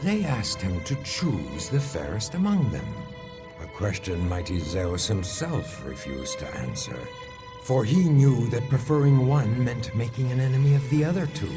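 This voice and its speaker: An actor playing a grave storyteller of ancient war legends in a video game, narrating in a solemn, measured, dramatic way. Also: male voice, mid-range voice, big pitch swings. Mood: solemn, ominous. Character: grave male storyteller recounting the Trojan War. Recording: loud music under the voice.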